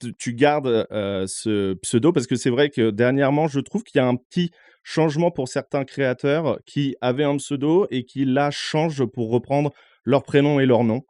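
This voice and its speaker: Male podcast host from northern France; mellow, casual, uses lots of fillers. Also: medium pitch, moderate pace, no echo, clean studio sound. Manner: relaxed, filler-heavy interviewer. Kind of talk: casual interview.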